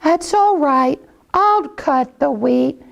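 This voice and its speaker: A woman's voice: high-pitched, animated, slow, vocal fry, muffled recording, voice pushed up, exaggerated voice. A woman voicing a kind, hardworking mother hen in a children's story, with a sweet, coaxing manner. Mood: hopeful.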